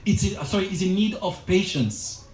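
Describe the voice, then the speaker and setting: Man, high pitch, earnest religious teacher, roomy echo; a lecture circle talk. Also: normal speed, darkish tone, a little hiss or hum. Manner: earnest, exhortative, with frequent restarts. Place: lecture room.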